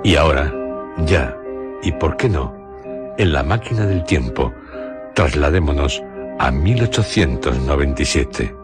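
A man narrating radio drama solemnly and theatrically, in short phrases parted by long pauses. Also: very deep voice, lively intonation. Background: music behind the voice.

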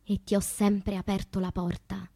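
An actress playing a passionate, wounded woman poet confessing her loves in a theatrical, poetic radio monologue, moving between hushed and impassioned delivery. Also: mid-range voice, flat delivery, normal speed, no echo, natural voice. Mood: intimate, bitter.

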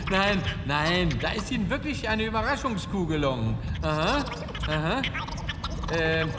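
A man's voice: sits high, very animated, measured pace, background noise. An actor playing an anxious, ingratiating politician in a radio drama, hesitant and fussy in his speech. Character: anxious, ingratiating politician seeking campaign support.

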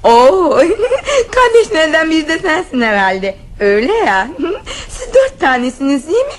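A woman's voice: high-pitched, very animated, a little slow, slight background noise. An actress playing a vain middle-aged lady visitor who titters and laughs between words. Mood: affected. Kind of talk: radio drama acting.

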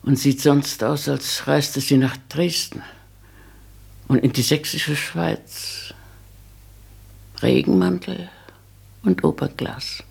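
An actor voicing a nosy, carping middle-class wife in a radio play, with a raspy, creaky voice and a disapproving, sniping drawl. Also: mid-range voice, steady tone, takes it slowly, pauses often, darkish tone, exaggerated voice.

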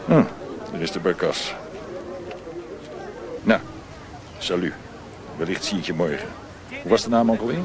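An actor playing a worldly, detached naval officer in a radio drama, clipped and matter-of-fact. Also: male voice, deep voice, unhurried, pauses often, crowd noise. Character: worldly, detached male naval officer who smokes opium. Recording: distant voice in busy outdoor scene.

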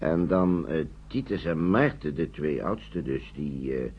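An actor playing a gentle, courteous older man in a radio play, speaking politely and reassuringly with some hesitation. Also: extremely low pitch, animated, measured pace, husky, full character voice. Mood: earnest, reflective. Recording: muffled, noisy old radio drama recording.

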